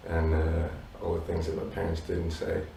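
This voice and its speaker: A man in his thirties with Polish roots, a musician answering interview questions in a relaxed, reflective way with many "you know"s. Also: sits low, normal speed, vocal fry. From abroad, Polish parents. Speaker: musician guest. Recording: roomy echo from a distance.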